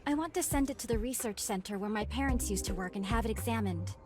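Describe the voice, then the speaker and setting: A careful young woman adventurer from a video game, voiced by an actress. Her voice is high and she speaks with earnest politeness. There is clear music behind her. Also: fast, lightly acted. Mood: polite, hesitant. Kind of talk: scripted dramatic game acting.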